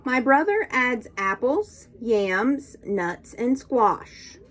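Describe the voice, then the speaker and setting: A woman leading a children's storytime with a fairly high voice that swings widely in pitch. She reads very slowly and expressively, in a sing-song, encouraging teacher's manner, inviting little listeners to join in. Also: big pitch swings, fairly bright tone, a little hiss or hum. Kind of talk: interactive read-aloud to young children.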